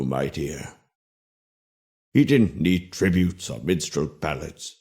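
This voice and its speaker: An actor playing a wise, gentle storyteller, narrating a legend in a measured, solemn and tender manner for a video game. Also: male voice, deep voice, full character voice. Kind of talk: scripted fairy-tale narration.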